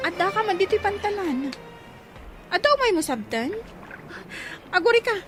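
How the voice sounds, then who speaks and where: A woman's voice: very high voice, big pitch swings, moderate pace, a bit bright, soft background music, exaggerated voice. A woman with an Ilocano accent playing an inquisitive village woman at a pier. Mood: curious.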